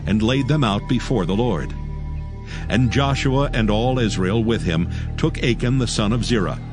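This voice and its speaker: A man's voice: medium pitch, normal speed. A man narrating a dramatized Bible reading in a formal, solemn manner. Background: loud background music.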